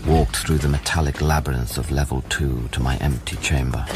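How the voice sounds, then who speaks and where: An actor playing a haunted scientist on a space station in an audio drama, halting and brooding as he thinks aloud. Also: rumbling low, fairly even intonation, very noisy. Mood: reflective. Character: troubled male scientist, haunted, newly arrived on space station. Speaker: actor narrating and playing the lead.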